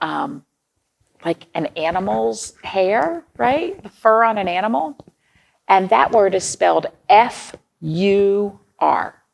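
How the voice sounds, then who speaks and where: A woman with a creaky voice hosting storytime for young children, reading aloud and chatting to them in simple explanations and questions. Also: on the low side. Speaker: children's storytime host at a library.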